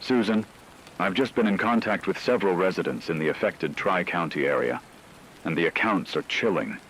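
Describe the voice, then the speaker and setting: A man playing a serious, measured news anchor in a scripted emergency radio broadcast drama. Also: sits low, fairly bright tone, slight background noise, rough recording. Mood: grave, ominous. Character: grave male radio news anchor reporting a supernatural fog crisis.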